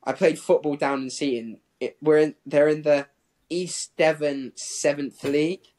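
A man's voice: fairly high pitch, steady tone, a little slow, darkish tone. Rambling young man chatting casually. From Hong Kong and England. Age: 20s. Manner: rambling, peppered with "like". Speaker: professional distance runner, interview guest. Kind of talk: casual interview chat.

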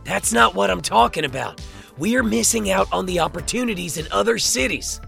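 An actor playing a cocky, ambitious crime boss in an audio drama, with a gravelly, self-assured delivery. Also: male voice, high-pitched, expressive, moderate pace, a bit bright, faint music behind, heavily acted. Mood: cocky, determined. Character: cocky, ambitious male crime boss, loyal to his partner.

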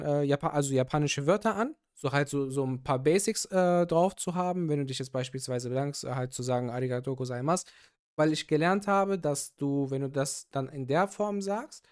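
A man co-hosting a casual podcast chat, relaxed and hesitant with many fillers. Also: a little high, smooth. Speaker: podcast co-host.

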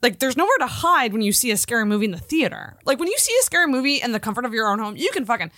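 Bright, animated woman chatting casually. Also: fairly high pitch, rapid-fire, dry recording, clean studio sound. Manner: expressive, profane. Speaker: podcast co-host. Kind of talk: casual chat.

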